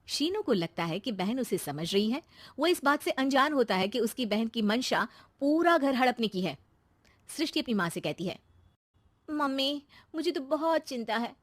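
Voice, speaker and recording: High-voiced woman narrating a story. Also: sing-song, normal speed, no room sound. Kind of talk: scripted narration of a moral story.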